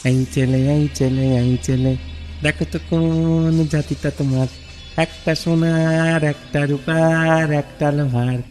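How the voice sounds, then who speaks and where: A man's voice: a little high, fairly even intonation, takes it slowly, music in the background. A man voicing a kindly cartoon ghost uncle who lives in a well, repeating his phrases. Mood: calling out.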